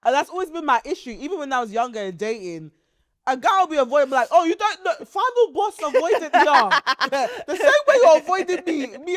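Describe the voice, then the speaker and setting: A woman with a stuffy, blocked-up nose co-hosts a casual podcast. She talks with lively, playful energy and slips into laughter and mock voices. The sound is close and dry. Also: high-pitched, dry recording. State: stuffy nose from hay fever. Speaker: podcast co-host. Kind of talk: casual chat.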